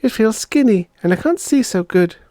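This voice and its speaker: Man putting on a high-pitched, eager little girl. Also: animated, moderate pace, mellow, dry recording, studio quality. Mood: whiny, complaining. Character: little girl, eager, whiny, easily awed kid sister. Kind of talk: audiobook character voice.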